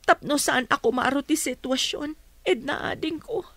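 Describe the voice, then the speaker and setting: A long-suffering wife in a radio drama. Her voice is high, bright and tense, recorded dry, with a pleading manner. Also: normal speed, dry recording. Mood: sad, apologetic.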